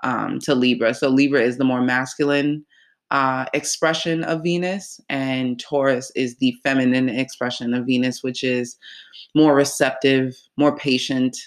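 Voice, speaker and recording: Slow-talking congested nasal host. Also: medium pitch. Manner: relaxed, rambling with many fillers. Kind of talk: casual astrology talk.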